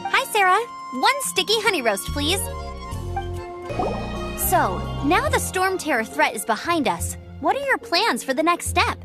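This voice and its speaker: Bubbly young knight girl in a game, high voice, bouncy melody to it. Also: big pitch swings, a little slow, loud music behind the voice, full character voice. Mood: cheerful. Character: perky, earnest young woman knight, friendly and upbeat. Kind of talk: video game character acting.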